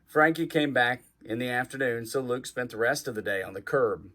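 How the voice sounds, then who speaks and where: A man with a medium-pitched voice reads a children's storybook aloud. His delivery is expressive and animated, shaped for young listeners, and he acts out the dialogue as he goes. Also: normal speed. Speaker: guest reader of a children's book.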